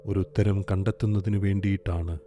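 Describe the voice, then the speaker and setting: A man guiding a meditation in a calm, gentle, soothing way, leaving long pauses. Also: sits low. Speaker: meditation guide. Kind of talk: guided meditation, slow soothing instruction. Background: soft meditation music with singing bowl.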